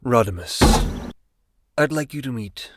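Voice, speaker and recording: An actor playing a formal robot councillor in an audio drama. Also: male voice, medium pitch, animated, a little slow, heavy background noise, lightly acted.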